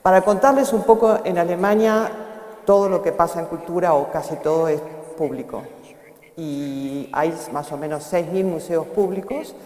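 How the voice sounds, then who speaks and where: A woman's voice: fairly low pitch, normal speed, a little mellow. An older woman speaking on a conference panel, hesitating often and searching for her words. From Argentina. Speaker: panelist, foundation director. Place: conference hall. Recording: echoey hall with long reverb.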